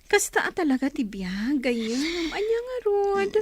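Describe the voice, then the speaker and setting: A woman's voice: sits high, big pitch swings, a little slow. A woman playing a concerned, exasperated young friend in an audio drama, pleading expressively with an Ilocano Filipino accent. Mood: concerned, coaxing.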